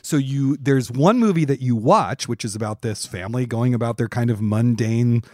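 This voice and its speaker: A man's voice: medium pitch, moderate pace, a little mellow, no room sound. Male podcast host, dry close sound. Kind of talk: conversational podcast interview.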